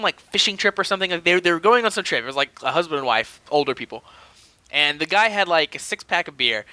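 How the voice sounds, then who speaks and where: A man with a high-pitched, lively voice that swings around as he chats and tells funny stories. He sounds animated and ready to chuckle, like a casual podcast co-host, and the recording is close and dry. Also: clean tone, no echo. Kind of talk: casual comedic storytelling chat.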